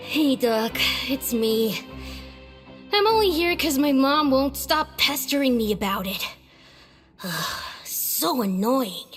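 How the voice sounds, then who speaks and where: A woman's voice: sits high, lively intonation, slow pace. A woman voicing a sulky, bratty young sick boy in a video game, whining and grumbling. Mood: sulky, annoyed. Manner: whiny, grumbling. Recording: music behind the voice.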